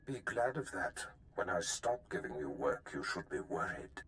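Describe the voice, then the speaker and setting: A man playing a cold, scheming Sith lord in a video game, measured, menacing and condescending, with rumbling noise underneath. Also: animated, fairly bright tone. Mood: imperious.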